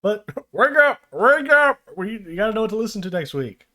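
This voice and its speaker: A man's voice: high voice, big pitch swings, normal speed, sharp tone. A man hosting a casual album-review podcast, talking loosely with lots of fillers and playful asides, his voice worn from a long session. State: losing his voice. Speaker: podcast host. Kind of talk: casual music review chat.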